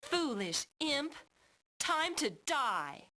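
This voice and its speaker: A disdainful video game villainess. She speaks slowly and deliberately in a fairly high voice, theatrical, condescending and full of cool menace. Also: sing-song, background noise, heavily acted. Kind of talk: theatrical 1990s game voice acting.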